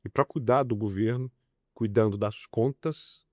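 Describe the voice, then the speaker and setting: A man's voice: lowish voice, moderate pace, a little mellow, no room sound, studio quality. A man, answering interview questions with emphatic, passionate delivery. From Belo Horizonte, Brazil. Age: 40s. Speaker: political candidate guest.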